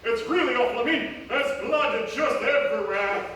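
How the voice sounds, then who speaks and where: A man's voice: very high-pitched, moderate pace, sharp tone. A man playing a wry, melodramatic narrator in a live radio comedy, breaking into offhand asides. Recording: echoey live theatre, distant mic, noisy.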